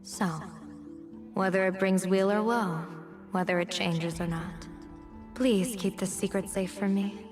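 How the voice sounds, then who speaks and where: A woman's voice: medium pitch, fairly even intonation, unhurried, lightly acted. A woman acting a poised, playful young general and fortune-teller in a video game, with a teasing, theatrical delivery. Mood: coy, teasing. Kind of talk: acted game dialogue. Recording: music behind the voice. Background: game music.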